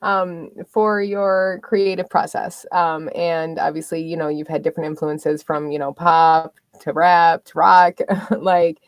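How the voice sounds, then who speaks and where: A woman's voice: low voice, steady tone, unhurried, few pauses, no echo. A woman, interviewing in a casual, informal way as a podcast host.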